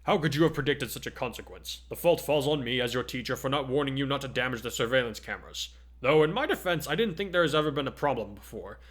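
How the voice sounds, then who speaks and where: A man's voice: medium pitch, animated, fast talker, fairly bright tone, close to an everyday voice. A man voicing a giant, kindly male superhero teacher, hearty and earnest, in a story reading. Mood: kindly, apologetic.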